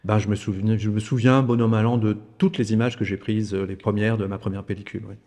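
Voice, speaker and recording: A man's voice: on the low side, fairly even intonation, quick pace, a little mellow, long reverb tail. A man in his fifties from Alsace, a podcast guest talking casually, with many repeated words and fillers. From Alsace, France. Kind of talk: casual conversation.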